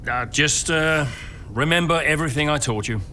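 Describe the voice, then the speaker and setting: An actor playing a kindly, modest older racing mentor with wry humor, speaking hesitantly in a naturalistic game cutscene. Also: male voice, fairly high pitch, normal speed, bright, forceful tone, a little hiss or hum. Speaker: veteran racing driver and mentor.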